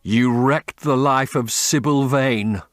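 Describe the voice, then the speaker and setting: A man voicing a vengeful sailor who threatens slowly and deliberately. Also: medium pitch, dry recording, clean studio sound, voice pushed up, natural voice. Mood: menacing. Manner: slow, menacing, deliberate.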